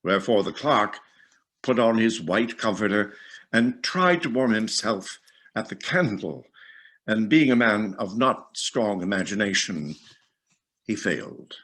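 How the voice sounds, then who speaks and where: A man reading a classic story aloud as dramatic narration, with deliberate phrasing and pauses. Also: on the low side, lively intonation, fairly bright tone.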